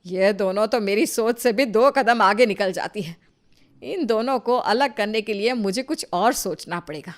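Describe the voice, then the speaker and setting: A woman voicing a jealous, scheming older mother-in-law in an animated moral story, scolding and grumbling forcefully. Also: mid-range voice, moderate pace, natural voice.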